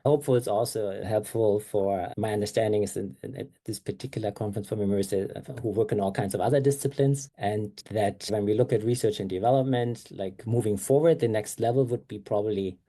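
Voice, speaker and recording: German guy lecturing at a conference, mellow voice, lots of uhs and restarts. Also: fairly low pitch, fairly quick, few pauses. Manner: explanatory, frequent fillers and self-corrections. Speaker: conference speaker. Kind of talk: lecture talk with slides.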